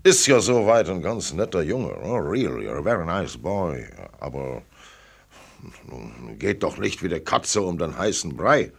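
Gravelly, gruff old tycoon. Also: male voice, sits low, very animated, a little slow, full character voice. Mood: grumbling. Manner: blunt, grumbling, dismissive. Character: gruff, lonely billionaire father from America, blunt and practical. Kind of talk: German radio play acting.

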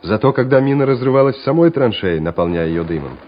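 A man's voice: medium pitch, animated, fast, a little hiss or hum. A man narrating a war radio play in a scripted, dramatic storytelling style. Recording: dull radio recording with music behind.

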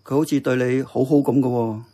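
An actor playing a kindly, contented older uncle in a Hong Kong Cantonese radio drama, chatty and reassuring. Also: male voice, mid-range voice, steady tone, fairly quick, dark tone, close to an everyday voice. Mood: fond, reassuring.

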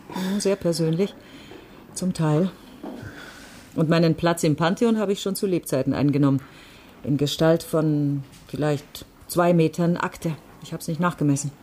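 Over audible ambient noise, an actress plays a middle-aged woman with a bitter streak in a radio play. She speaks in a wry, halting way, with the sardonic edge of a disillusioned former activist. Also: low voice, warm tone, lightly acted. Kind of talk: naturalistic radio drama dialogue.

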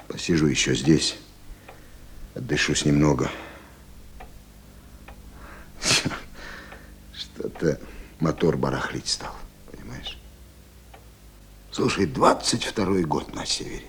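An actor playing a tired, fatherly middle-aged Soviet official who repeats himself haltingly in a radio drama. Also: extremely low pitch, lively intonation, gravelly, slight background noise, exaggerated voice. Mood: weary, breathy. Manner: repeats phrases, paternal, halting.

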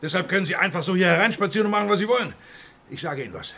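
An actor playing an irascible, aggrieved boss of a poultry megastore in a radio crime drama, blustering and indignant. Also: male voice, high-pitched, rapid-fire, creaky, fairly bright tone, full character voice. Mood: defensive, threatening.

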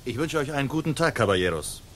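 An actor playing a suave, courteous young noble hero with a polite, wry manner in a German radio drama. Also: male voice, mid-range voice, expressive, fast, slight background noise, close to an everyday voice. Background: soft guitar music.

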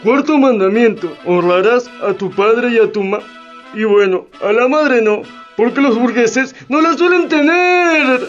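A man's voice: extremely high pitch, a little slow. A man playing a mock-solemn, sententious reciter of commandments, declaiming in satirical radio theatre style. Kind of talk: Spanish-language radio theatre acting. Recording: dull lo-fi radio play, music behind.

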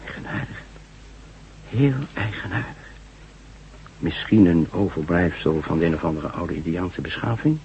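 An actor playing a polite, folksy and unbothered small-town sheriff in a science-fiction radio drama. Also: male voice, deep voice, moderate pace, long pauses, a little mellow. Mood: puzzled, unbothered. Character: easygoing, unhurried male small-town sheriff. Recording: dull lo-fi radio recording, humming noise.

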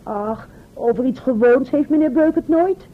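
An actress playing a naive, artless young serving maid of olden times in a radio play. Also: female voice, sits high, moderate pace. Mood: wistful. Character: naive, timid serving maid of centuries ago. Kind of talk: old Dutch radio play acting. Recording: thin, phone-like old radio recording.

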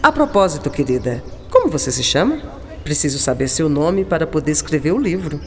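A woman's voice: low voice, sing-song, fairly quick, big-room sound, slight background noise. An actress playing a cultured, enigmatic woman writer in a Brazilian radio drama, speaking with musing, lyrical affection. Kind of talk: scripted radio drama acting.

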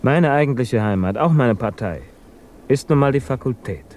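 Male actor for a wary intellectual refugee, dark low tone, dry irony, vintage radio drama with faint noise. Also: animated, normal speed, lightly acted. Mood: firm, defensive. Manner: guarded, dry. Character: wary, reserved German émigré scientist, dryly ironic. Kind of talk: 1950s German radio drama acting.

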